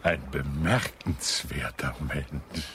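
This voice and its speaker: An actor playing a pompous, slow-witted British colonel in a radio drama, fussy and overly polite. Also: male voice, extremely low pitch, a bit bright, a little hiss or hum, exaggerated voice. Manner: fussy and polite, with hearty laughs.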